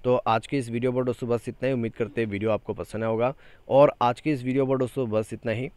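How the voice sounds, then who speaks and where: A man's voice: mid-range voice, steady tone, fast, darkish tone, smooth, no room sound. A man presenting an informal step-by-step phone tutorial as a YouTuber, often tagging his sentences with a short check-in phrase.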